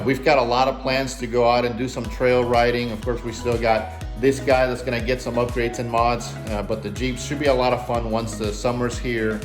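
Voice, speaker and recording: Deeper-voiced enthusiastic man over music. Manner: enthusiastic, informal. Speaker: car channel host. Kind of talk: casual vlog chat.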